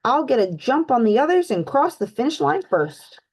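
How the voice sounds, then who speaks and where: A fussy storybook rabbit, self-assured and brisk, talking high and a bit lifted as if he knows best, as a woman puts him on. His lines ring out a touch louder than the narration. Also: very animated.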